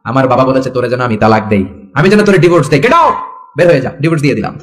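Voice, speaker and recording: Guy doing a worked-up young husband in a sermon story, talks fast, loud and demanding. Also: mid-range voice, expressive, voice pushed down, lightly acted. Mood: furious. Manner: urgent exclamations and repeated questions. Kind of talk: acted dialogue within a sermon.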